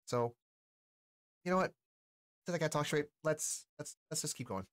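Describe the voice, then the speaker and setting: A man in his forties giving casual, slangy reaction commentary in a halting, enthusiastic way as a video host. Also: on the high side, fairly bright tone. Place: small indoor streaming room. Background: pop music.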